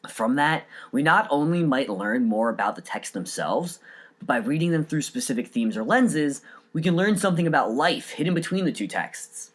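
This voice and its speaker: Lively, earnest male podcast host. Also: fairly high pitch, normal speed. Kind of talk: scripted solo podcast narration.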